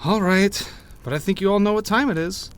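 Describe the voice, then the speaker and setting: A man's voice: high-pitched, a little fast, clean tone, close to an everyday voice. A man playing a cocky, theatrical young joker in an audio roleplay, given to mock offence. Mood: playful, challenging. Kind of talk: interactive audio roleplay acting.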